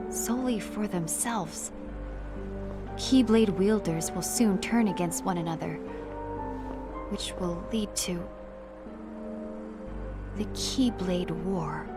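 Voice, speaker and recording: A woman playing a calm, solemn, analytical figure in an animated film, speaking with foreboding. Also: medium pitch, close to an everyday voice. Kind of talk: animated film dramatic dub acting.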